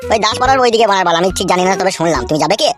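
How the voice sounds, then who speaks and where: A man's voice: high voice, lively intonation, rapid-fire, a bit bright, soft background music. A man voicing a scheming, tattling henchman in a comedy cartoon, slangy and eager. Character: scheming, tattling male henchman of local boss.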